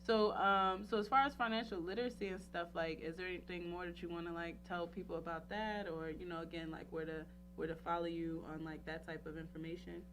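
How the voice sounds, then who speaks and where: A woman hosting a casual talk show interview in a playful, animated way, with lots of slang and filler phrases like "you know". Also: on the low side, fairly quick, hardly any pauses, background noise. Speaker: radio talk show host.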